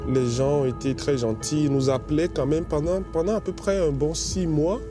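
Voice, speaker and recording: A man from Benin who works as a bank account manager, speaking in a steady, earnest tone in a testimonial interview. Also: a little high, moderate pace, over music.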